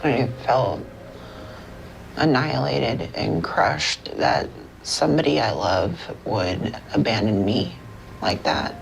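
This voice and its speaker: A woman's voice: a bass voice, lively intonation, slow pace, low-quality recording. An American woman talking about personal matters as a patient in a counseling session, with hesitant phrasing. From Portland, Oregon, United States. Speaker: therapy patient. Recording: clear background room noise.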